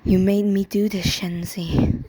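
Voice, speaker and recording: A woman voicing an earnest, grieving young animal hero in an amateur animated drama, halting and solemn as she pleads. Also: mid-range voice, husky. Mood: solemn, hushed. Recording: loud music under the voice.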